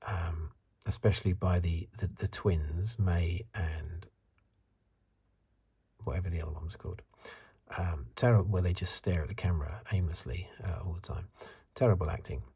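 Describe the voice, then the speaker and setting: A man giving soft-spoken ASMR commentary in a breathy, husky, partly whispered voice, musing haltingly and offering his opinions close to the microphone. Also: low voice, fairly even intonation. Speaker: host of an ASMR review channel.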